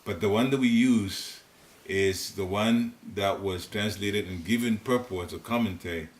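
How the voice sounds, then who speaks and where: Older ghanaian guy, deep voice, rambly chatty spiritual class in someone's living room, roomy sound. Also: a little slow. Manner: rambling, chatty, chuckling asides. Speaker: devotional class leader. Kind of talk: informal spiritual teaching talk. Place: living room in a private home. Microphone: seated, room recorder at a distance. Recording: roomy home recording, faint hiss.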